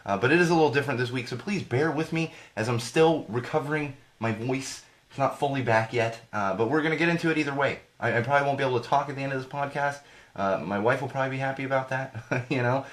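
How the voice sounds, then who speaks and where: A man hosting a live podcast in a casual, ranting way, hoarse and congested from a cold, often repeating himself as he talks straight to his viewers. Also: medium pitch, fast talker, fairly bright tone. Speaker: podcast host.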